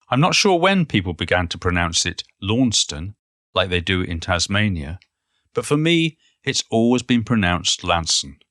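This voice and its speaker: A man playing a reflective, modest older Cornish poet in an audio play monologue, reminiscing in a measured, literary and musing way. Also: low-pitched, a bit bright, no room sound, clean studio sound. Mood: wry, musing.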